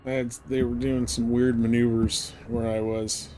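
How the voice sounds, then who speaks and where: A man's voice: mid-range voice, level tone, normal speed, mellow, a little hiss or hum. A man giving casual, live commentary as he streams a video game.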